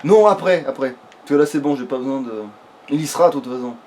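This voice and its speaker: A man talks through a let's play. He rambles in casual, slangy, joking speech. Background noise sits clearly under his voice. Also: fairly high pitch, fast. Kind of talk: casual gaming chat. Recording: noisy home recording, steady background noise.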